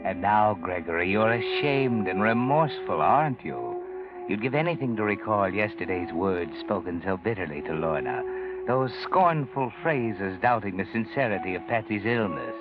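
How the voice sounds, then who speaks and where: Low, taunting old-radio mystery narrator. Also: male voice. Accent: American. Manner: taunting, insinuating, addresses a character directly. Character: unseen ominous male narrator, mocking the guilty hero. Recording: muffled old radio recording with music.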